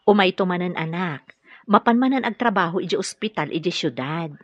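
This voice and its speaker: A woman's voice: lowish voice, lively intonation, brisk, dry recording. An actress with an Ilocano Filipino accent playing a caring but firm therapist and young mother in a radio drama. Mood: gentle, motherly. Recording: dull lo-fi radio drama, music underneath.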